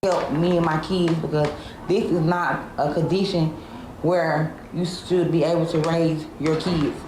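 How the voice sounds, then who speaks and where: A woman's voice: deep voice, steady tone, measured pace. Plain-spoken Southern woman in an echoey room. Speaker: tenant interviewed for news. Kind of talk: informal on-location interview. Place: indoors in an apartment. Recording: echoey room with background noise.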